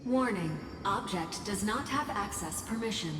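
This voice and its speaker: A woman's voice: medium pitch, expressive, normal speed, lightly acted. A woman voicing an impersonal female computer guardian in a video game, giving clipped, formal, emotionless announcements. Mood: impersonal warning. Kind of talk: scripted video game voice acting. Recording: echoey, long reverb, loud music behind.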